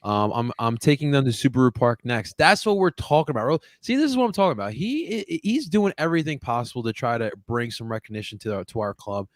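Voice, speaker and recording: A man's voice: mid-range voice, fast, hardly any pauses, no room sound, studio quality. A man hosting a sports podcast over a video call, chatting casually and hyping up the fans. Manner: excitable, hypes up fans. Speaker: podcast host.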